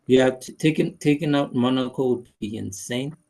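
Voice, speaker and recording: A man's voice: mid-range voice, normal speed, gravelly, darkish tone. A man co-hosting a motorsport podcast, speaking hesitantly with lots of fillers and agreement. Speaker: podcast co-host. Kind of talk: casual chat.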